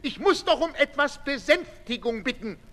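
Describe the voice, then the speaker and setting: An actor playing a pompous, condescending asylum director in a 1960s radio play. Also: male voice, very high voice, expressive, moderate pace, sharp tone, exaggerated voice. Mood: officious. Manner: officious, condescending. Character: pompous male asylum director, fussy and patronising. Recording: reverberant hall, 1960s radio recording.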